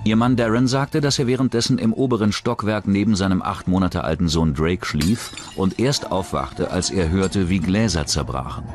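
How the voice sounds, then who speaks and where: A man with a low, deep voice reads scripted true-crime narration as a documentary narrator. Music plays underneath him. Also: normal speed, hardly any pauses. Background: background music.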